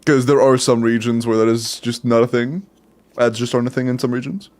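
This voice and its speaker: A young man with a stuffy nose, casually giving game account advice on a live stream, relaxed and full of fillers. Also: mid-range voice, fairly quick. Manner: relaxed, chatty, many fillers and laughs.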